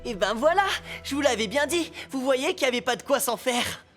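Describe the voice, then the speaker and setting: A woman's voice: sits high, expressive, a little fast. A woman playing a brash, impatient boy adventurer in a French children's cartoon, cocky and slangy. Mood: cocky, defensive. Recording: loud music behind the voice.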